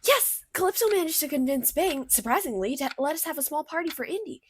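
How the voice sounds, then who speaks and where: A woman voicing a bubbly, caring female cartoon character in a radio play, chatty, motherly and expressive. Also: high-pitched, quick pace, a little hiss or hum. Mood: excited. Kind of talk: fictional radio theater acting.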